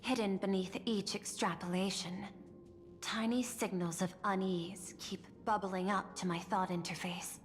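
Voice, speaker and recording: A calculating machine executive acted by a woman in a video game. She is measured, formal and coolly polite, deadpan at a medium pitch, her voice trailing a long reverb over faint music. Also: natural voice.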